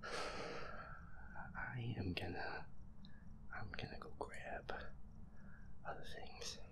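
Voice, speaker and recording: A man muttering anxiously to himself and swearing as he plays a scary video game, breathy and out of breath. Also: lowish voice, extremely slow, in a whisper. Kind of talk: whispered horror game playthrough, muttering to himself.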